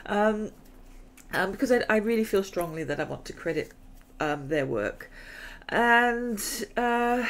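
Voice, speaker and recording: A woman's voice: on the low side, creaky, slight background noise. A middle-aged woman hosting a gaming channel, chatting in a rambling way and laughing often while she loses her voice. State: losing her voice. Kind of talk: casual chat over gameplay.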